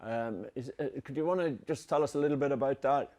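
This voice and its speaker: A man's voice: mid-range voice, fast, crowd noise. A man who directs a film festival, hosting a Q&A and asking questions hesitantly.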